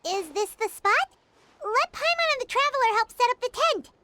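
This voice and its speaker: A woman voicing a tiny, childlike fairy companion in a video game, squeaky, perky and exclamatory. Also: big pitch swings, normal speed, bright, forceful tone, heavily acted. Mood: cheerful, hungry. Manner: exclamatory, speaks of herself in the third person.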